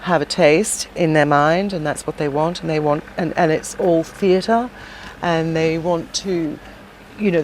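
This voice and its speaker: A middle-aged woman with a low voice talks through her past in a relaxed interview. She is chatty, circles back with fillers and restarts, and has a faint hum behind her. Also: low-quality recording. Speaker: podcast guest, shop owner and former singer. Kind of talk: casual interview chat.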